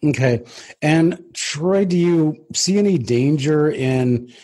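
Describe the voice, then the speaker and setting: A man's voice: on the high side, animated, takes it slowly, mellow. A man hosting an interview in a casual, conversational way, asking long rambling questions with restarts. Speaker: interview host.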